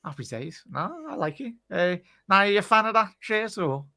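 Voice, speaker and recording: A man's voice: high voice, big pitch swings, normal speed, creaky, sharp tone, dry recording, studio quality. A man hosting a casual live football reaction stream on a video call, chatty and calling everyone mate. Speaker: host.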